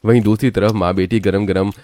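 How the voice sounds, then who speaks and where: Low-voiced man narrating a cartoon story. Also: fairly even intonation, brisk, mellow, no room sound.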